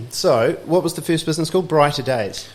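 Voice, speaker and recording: A man hosting a podcast, asking curious questions in a relaxed, chatty way and nodding along with quick agreements. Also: on the high side. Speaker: podcast host. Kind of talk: casual interview chat.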